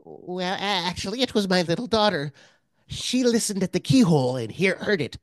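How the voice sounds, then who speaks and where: A pathetically loyal middle-aged party man in a radio play, acted by a woman. He stammers and fawns, eager to please, with very animated pitch swings in a dry, close recording. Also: moderate pace, dry recording, close to an everyday voice. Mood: nervous, confiding. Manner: stammering, ingratiating. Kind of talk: radio drama acting.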